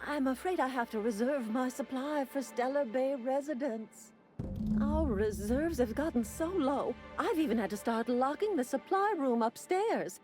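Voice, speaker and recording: A sweet-talking older woman behind a pill counter, all teasing charm and quiet scheming. Her voice sits high and swoops through a lively lilt. Faint music hums under the game audio. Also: normal speed. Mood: apologetic, sly. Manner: syrupy, teasing, calls everyone dearie. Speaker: dispensary clerk. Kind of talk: video game voice acting.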